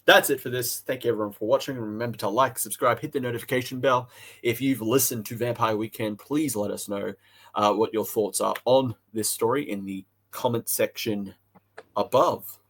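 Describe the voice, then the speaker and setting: A man from Australia co-hosting a casual review chat, talking in a halting way and often repeating words. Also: medium pitch, fairly even intonation, a bit bright. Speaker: podcast co-host and reviewer.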